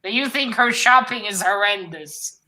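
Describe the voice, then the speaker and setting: A woman's voice: medium pitch, normal speed, pushed and bright, low-quality recording. A woman co-hosting a casual book discussion on a live stream, talking informally and jokingly. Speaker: co-host of a literary read-along stream.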